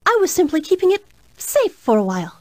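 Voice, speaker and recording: A woman's voice: high voice, huge pitch swings, brisk, no echo. A woman voicing an indignant villager who feigns innocence in a children's game. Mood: indignant, defensive. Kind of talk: children's game cartoonish voice acting.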